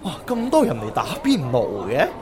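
A man's voice: high voice, huge pitch swings, fairly quick, crowd noise. An actor playing a jovial middle-aged policeman in a radio drama, exclamatory, with a Hong Kong Cantonese accent. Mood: hearty. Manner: exclamatory, hearty. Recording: music bed under the dialogue.

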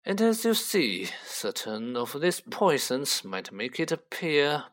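A man's voice: on the high side, very animated, slow pace, fairly bright tone, exaggerated voice. A man voicing a haughty, cold aristocratic wizard father who speaks with curt, condescending disdain in a storybook reading.

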